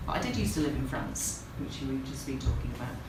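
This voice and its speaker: A woman from the UK, an English teacher, talking with visitors in her classroom in a friendly, brisk way. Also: very deep voice, animated, background noise. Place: classroom, indoors. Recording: echoey classroom, mic far off.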